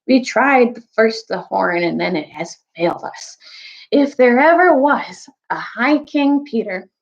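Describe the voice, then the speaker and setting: A woman's voice: on the high side, expressive, a little slow, muffled recording, voice pushed up. A woman voicing a bitter, scheming male dwarf in a storybook, grudging and argumentative. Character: bitter, scheming male dwarf plotting against old legends.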